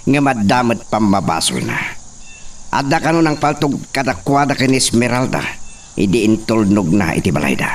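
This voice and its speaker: An actor with a northern Philippine accent playing an imperious, mocking young hacienda master in a radio drama, commanding and taunting. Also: male voice, medium pitch, very animated, normal speed, far from the mic, a little hiss or hum. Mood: stern.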